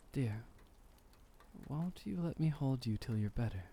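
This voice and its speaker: Man playing a slow, mellow, courtly vampire boyfriend. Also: medium pitch, a little hiss or hum. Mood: earnest, devoted. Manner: soft, soothing, old-fashioned formal phrasing, breathy sighs. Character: gentle, courtly male vampire boyfriend, tender and protective. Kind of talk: ASMR roleplay, intimate comforting monologue.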